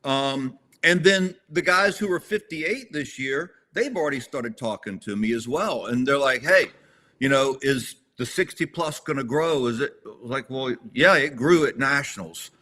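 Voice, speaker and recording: A man's voice: mid-range voice, unhurried, bright, forceful tone. A man in his sixties talking as an interview guest, rambling a little with restarts and self-corrections. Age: 60s. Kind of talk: casual conversational interview.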